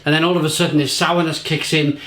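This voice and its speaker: A man talks a beer through to camera with rambling enthusiasm, circling back and repeating himself. His voice sits a little high and has gone slightly croaky. The room around him gives a noticeable echo. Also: flat delivery, fast, a bit bright. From England. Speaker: beer reviewer. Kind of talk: casual tasting review to camera.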